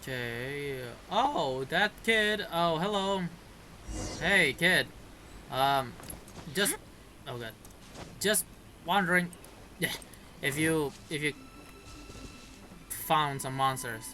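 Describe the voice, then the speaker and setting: A man's voice: fairly high pitch, lively intonation, slow, long pauses, pushed and bright, slight background noise. A man giving casual game commentary, reacting informally and muttering to himself as he plays. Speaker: gamer playing and reacting. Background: game music.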